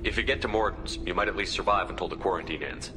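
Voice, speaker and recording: Man as a low, brisk, commanding military hero. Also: big-room sound, over music, natural voice. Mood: firm, reassuring. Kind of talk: video game dialogue.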